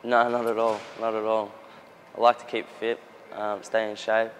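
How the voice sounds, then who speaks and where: A man's voice: lowish voice, flat delivery, moderate pace, slight background noise, poor audio. A young Australian man from Melbourne answers interview questions earnestly, often repeating words as he searches for phrasing. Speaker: young footballer. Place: outdoors near a gym with traffic.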